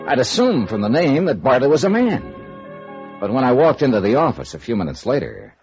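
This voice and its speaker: An actor playing a wry, self-assured insurance investigator in 1950s radio noir, with a dry, needling, casual manner. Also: male voice, medium pitch, huge pitch swings, normal speed, a little mellow, soft background music. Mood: wry narration. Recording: dull noisy vintage radio recording.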